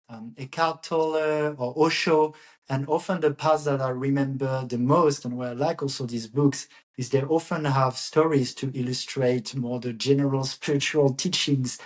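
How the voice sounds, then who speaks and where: A man hosting a podcast interview, asking questions and reflecting on the guest's ideas in an affirming way. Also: mid-range voice. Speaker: podcast host.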